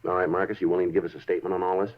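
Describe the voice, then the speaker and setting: An actor playing a terse, patient police detective in a 1950s radio drama, dry and businesslike in speech and narration. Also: male voice, low voice, little pitch movement, rapid-fire, no echo, lightly acted. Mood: dry, probing. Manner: clipped, dry, matter-of-fact. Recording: muffled 1950s radio recording, music behind.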